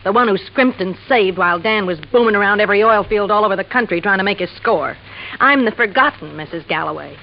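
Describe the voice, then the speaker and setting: Actress as bitter ex-wife, muffled radio drama. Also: female voice, mid-range voice, fast, natural voice. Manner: resentful, emphatic. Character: bitter, stubborn middle-aged abandoned ex-wife. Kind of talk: 1950s radio drama acting. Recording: muffled old radio recording.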